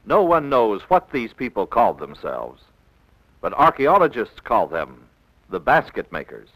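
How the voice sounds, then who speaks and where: A male American narrator delivers scripted educational narration in the manner of a 1960s classroom film. He speaks slowly and instructively, enunciating each phrase, with a widely varied melody. The sound is dry, from an old film soundtrack. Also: medium pitch, huge pitch swings, fairly bright tone, dry recording, poor audio. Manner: measured, didactic, clearly enunciated.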